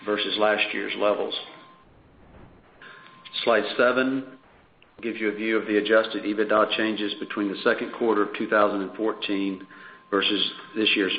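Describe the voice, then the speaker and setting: A man presenting prepared financial results as a company executive in a measured, businesslike way on a conference call. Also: lowish voice, little pitch movement. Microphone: conference call line. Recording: dull, echoey conference call with faint noise.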